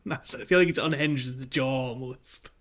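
A man's voice: mid-range voice, moderate pace, highs cut off. A man co-hosting a podcast in informal, sweary, casual conversation. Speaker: podcast co-host. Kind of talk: casual chat.

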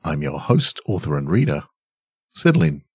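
A man's voice: rumbling low, very animated, normal speed. A man hosting a podcast and reading his own writing, recorded in a home studio. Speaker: podcast host, author and reader. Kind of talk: casual podcast chat and measured story reading. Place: home studio in a converted room.

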